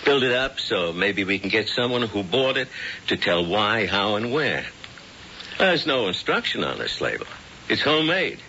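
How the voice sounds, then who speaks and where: An actor playing a blunt, businesslike police lieutenant in a 1970s radio drama. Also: male voice, lowish voice, sing-song, normal speed, pushed and bright, slight background noise, full character voice. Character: tough male police lieutenant. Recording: dull old radio broadcast.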